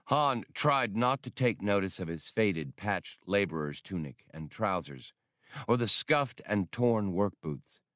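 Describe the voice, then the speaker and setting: Male narrator for a fiction audiobook; very low register, dry studio sound. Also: expressive, unhurried, fairly bright tone, dry recording.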